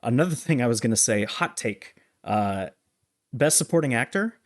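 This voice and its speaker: A man's voice: medium pitch, expressive, moderate pace. A man co-hosting a film podcast, giving his opinions in an informal, conversational way. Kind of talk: casual film discussion.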